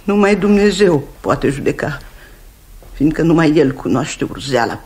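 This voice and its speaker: An actress in a 1950s radio drama playing an older aunt who talks stern and emotional, dropping into pleading whispers. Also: female voice, low-pitched, big pitch swings, a little mellow. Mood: solemn.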